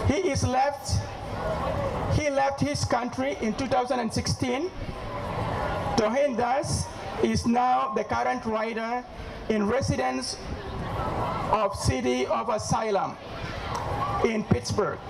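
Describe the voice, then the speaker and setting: Male emcee, very high gravelly voice, brisk introductions with slips, crowd noise. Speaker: event emcee. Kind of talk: announcing speakers. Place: outdoor public rally. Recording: noisy crowd at outdoor event.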